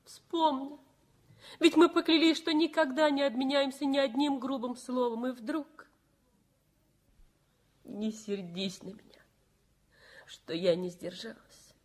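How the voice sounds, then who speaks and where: A devoted young nurse who soothes and pleads with her sick husband. Her voice is fairly high, and she is heard on a vintage 1960s radio play recording. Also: unhurried, pauses often. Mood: pleading. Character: young nurse and loving wife, gentle and devoted.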